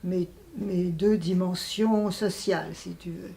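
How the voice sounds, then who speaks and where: Slow-speaking elderly woman. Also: fairly low pitch, steady tone, creaky, warm tone. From France. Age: 80s. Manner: hesitant, searching for words, pausing often. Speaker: interviewee, writer and translator. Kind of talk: reflective filmed interview.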